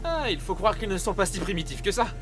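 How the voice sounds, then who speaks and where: An actor playing an eager, flustered rookie agent with a youthful voice in an audio drama, excitable and hesitant. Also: male voice, high voice, quick pace. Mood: eager, touchy. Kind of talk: French audio drama acting. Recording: music under the voice.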